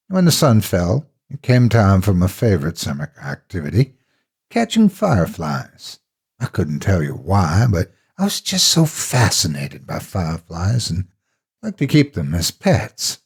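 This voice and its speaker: Husky, low-voiced man narrating horror. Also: dark tone. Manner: dramatic, measured storytelling. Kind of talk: scripted first-person horror narration.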